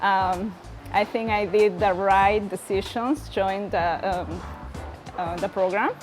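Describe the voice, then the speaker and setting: A woman from Peru, a native Spanish speaker with a Peruvian Spanish accent, giving a student testimonial and often pausing to search for words. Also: medium pitch, fairly even intonation, soft background music, poor audio. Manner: halting, searching for words in non-native English. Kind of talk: personal testimonial to camera.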